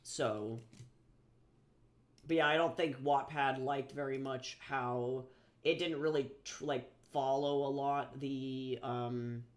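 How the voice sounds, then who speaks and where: A man's voice: mid-range voice, steady tone, slow pace. A man who is a little sick, chatting casually and rambling with swearing and fillers while drawing on a livestream. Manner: rambling, profane, many fillers and restarts. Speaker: livestreaming artist and author.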